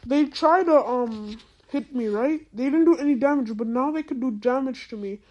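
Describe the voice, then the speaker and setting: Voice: sits high, moderate pace, a little mellow, lo-fi sound. A female-sounding voice through a voice changer, giving casual gameplay commentary in an exclamatory, incredulous, slangy and repetitive way. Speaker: gaming video host.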